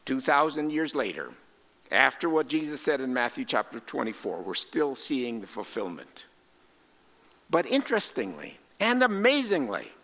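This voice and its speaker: A man preaching a sermon as a missionary, speaking deliberately and emphatically in short phrases with pauses, in a large reverberant space. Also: a little high, a bit bright. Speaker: preacher and cross-cultural missionary. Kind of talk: sermon with scripture quotations and rhetorical questions.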